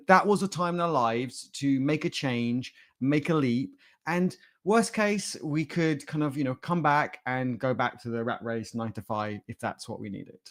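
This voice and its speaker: A man speaks as a presenter to an online audience in a medium-pitched voice. His delivery is loose and informal, wandering between asides in a jokey way. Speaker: conference speaker. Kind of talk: conversational talk to livestream audience.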